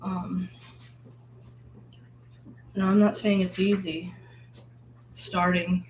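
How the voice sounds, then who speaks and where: A hoarse woman, sick at the moment, talking in a casual, rambling and reflective way, full of filler words, as she paints. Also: on the low side, flat delivery, drawn-out words, a little mellow, a little hiss or hum, low-quality recording. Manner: rambling, filler-heavy, musing. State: hoarse from illness. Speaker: vlogger painting.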